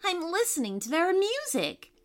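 A woman as a high-voiced, dreamy little girl. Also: big pitch swings, normal speed. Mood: happy, earnest. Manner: sweet, earnest.

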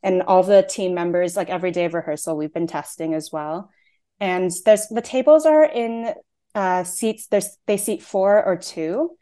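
A woman's voice: on the low side, normal speed, dark tone. A young woman in her twenties from Canada, a playwright and actor, chatting through interview answers with frequent "um" and "like" fillers.

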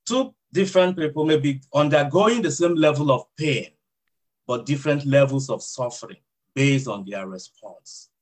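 A man with a Nigerian accent, a hospital chaplain, answering interview questions in a reflective, measured way with frequent fillers. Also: mid-range voice.

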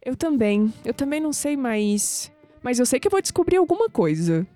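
A woman's voice: high-pitched, sing-song, normal speed, warm tone. An actress playing a curious young Brazilian woman in an audio drama. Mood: curious, intrigued. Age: young adult. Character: curious young woman uncovering family secrets, sceptical.

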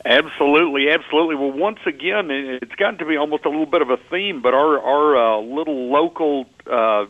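A man's voice: a little high, brisk. A man from Texas with a Texan accent, a guest chef chatting on a radio show in a folksy, joking way. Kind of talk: casual radio chat. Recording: slightly dull lo-fi sound.

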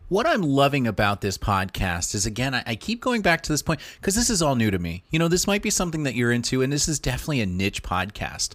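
A man's voice: medium pitch, big pitch swings, fast, hardly any pauses, a bit bright, no echo. Enthusiastic man reviewing podcasts. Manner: enthusiastic, repeats words and restarts often. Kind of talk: casual review commentary.

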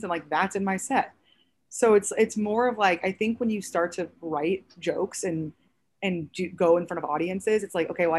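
Comedian guest, woman about thirty, raspy and a touch low, loose animated chat. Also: slight background noise. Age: around 30. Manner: chatty, animated storytelling with many fillers. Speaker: stand-up comedian, podcast guest. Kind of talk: casual podcast chat.

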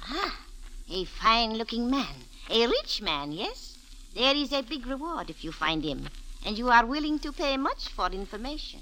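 A greedy, fatalistic old woman with a high, sharp voice and a theatrical, wheedling manner. She speaks in the style of old radio drama, over clear background noise. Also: sing-song, moderate pace. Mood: wheedling, sly. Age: older. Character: sly, money-grubbing old Egyptian flophouse keeper woman. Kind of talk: 1940s radio acting. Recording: noisy vintage radio recording.

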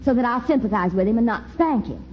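A woman's voice: on the high side, animated, fast talker, natural voice. A woman playing an exasperated mother in a vintage radio comedy, firm and emphatic. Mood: confiding. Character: exasperated, scolding young mother. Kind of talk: 1940s radio comedy acting. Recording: dull noisy radio recording before audience.

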